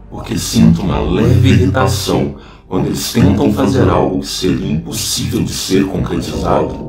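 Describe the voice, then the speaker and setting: An actor playing a cold, menacing, corrupted digital entity in a science-fiction audio drama, speaking formally and with detachment. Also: male voice, low-pitched, distant mic. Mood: cold, curious. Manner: formal, detached, deliberate phrasing. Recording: echoey, loud music underneath.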